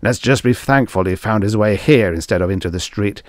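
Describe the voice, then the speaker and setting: Narrator doing a gruff middle-aged producer, low, curt, dry. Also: male voice, brisk, dry recording, close to an everyday voice. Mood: grim. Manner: grating and grim.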